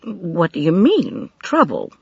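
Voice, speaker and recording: A woman reading an audiobook, voicing a calm, sensible older wife who speaks firmly and reasonably. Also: on the low side, takes it slowly, creaky, breathy edge, no echo, pitched above the performer's own voice, exaggerated voice. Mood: sleepy calm. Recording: dry, slightly dull studio recording.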